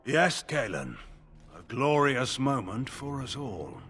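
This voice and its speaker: An actor playing a grim, distrustful older general in a video game, speaking in a stern, scornful manner. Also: male voice, mid-range voice, big pitch swings, takes it slowly, fairly bright tone.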